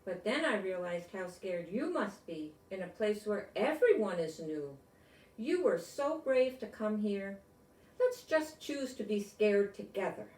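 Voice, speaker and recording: Older lady doing a small excitable chipmunk from a kids book, sincere n emphatic, bit far from mic. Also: mid-range voice, measured pace. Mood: apologetic, pleading. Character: small earnest excitable storybook chipmunk, kindhearted. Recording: roomy echo, distant microphone.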